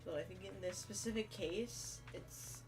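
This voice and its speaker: A woman's voice: mid-range voice, measured pace, distant mic, noisy background. A woman giving casual commentary as she streams a game. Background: game music.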